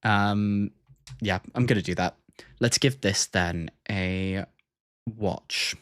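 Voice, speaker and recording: A man's voice: on the low side, slow pace, fairly bright tone, clear, resonant tone, dry recording, clean studio sound. A man hosting a livestream with casual reaction commentary, thinking aloud in a hesitant way and repeating words as he goes. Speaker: livestream host.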